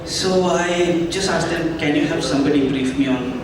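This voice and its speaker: A man's voice: on the high side, normal speed, far from the mic. Man, actor at press event, friendly, echoey room, heard from afar. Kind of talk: press conference answers. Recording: echoey hall, mic from afar, noisy.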